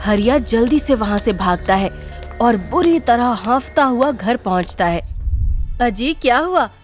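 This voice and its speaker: Woman, story narrator, high voice, unhurried, dramatic scripted reading, music behind. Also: lively intonation.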